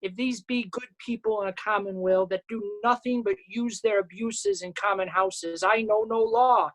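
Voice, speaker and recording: Woman as male constable, bumbling, earnest, self-important, halting, Shakespearean staged reading. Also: medium pitch, close to an everyday voice. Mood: officious. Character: bumbling earnest male constable who muddles his words.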